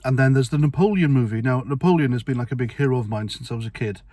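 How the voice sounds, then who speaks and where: Middle-aged male vlogger, mid-pitched, dry sound, rambling and sweary. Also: fast, warm tone, dry recording. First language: Welsh. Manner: blunt, rambling, sweary, with restarts. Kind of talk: casual opinion monologue to camera.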